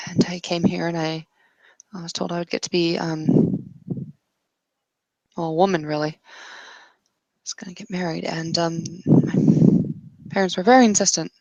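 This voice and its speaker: A woman playing a guarded, regretful young woman in a tabletop roleplay, confiding haltingly. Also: lowish voice, fairly even intonation, gravelly, a little mellow, dry recording, lo-fi sound. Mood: wistful, confessional. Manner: halting, hesitant confessional delivery.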